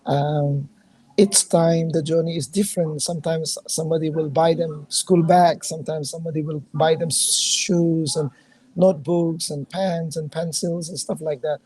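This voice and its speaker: Hoarse woman from Manipur on a call. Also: low voice, steady tone, mellow, poor audio. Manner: halting, earnest, many fillers. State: hoarse, losing her voice. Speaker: guest, charity founder. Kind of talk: casual video call conversation.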